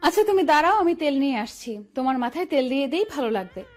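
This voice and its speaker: A woman's voice: sits high, sing-song, quick pace. A woman playing a caring, playful young college student in a romantic audio story. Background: background music.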